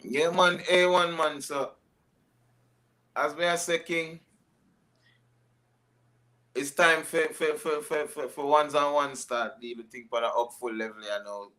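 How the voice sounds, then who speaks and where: A man's voice: fairly high pitch, a little slow, long pauses, bright, forceful tone. A man chatting casually and reacting as a panellist, drawing out and repeating his words. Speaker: podcast panellist.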